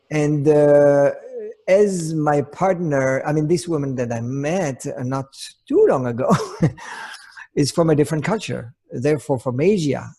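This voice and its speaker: A man from Switzerland with a Swiss accent, co-hosting a conversational talk on coaching, searching for his words in a halting manner. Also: medium pitch, warm tone, breathy edge. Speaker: co-host, relationship coach. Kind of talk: semi-prepared conversational presentation.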